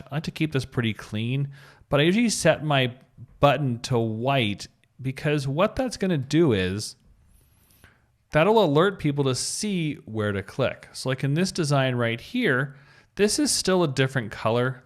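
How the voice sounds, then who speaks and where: A man hosting a step-by-step coding tutorial, explaining as he goes and spelling things out in an instructional way. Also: medium pitch. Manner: instructional, spells out code letter by letter. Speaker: web design tutorial host.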